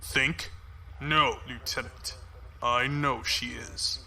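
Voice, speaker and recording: An actor playing a cold, calculating fleet commodore in a science fiction audio drama, measured, formal and authoritative. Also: male voice, medium pitch, steady tone, creaky, sharp tone, full character voice, poor audio. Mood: cold, assured.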